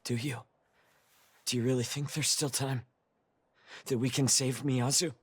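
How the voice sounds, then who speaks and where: A man's voice: medium pitch, little pitch movement, measured pace, husky, no room sound. A man voicing an earnest, protective teenage honor student in a video game, speaking with restraint. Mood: doubtful. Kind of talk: anime-style video game voice acting. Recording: very loud music over voice. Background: game soundtrack music.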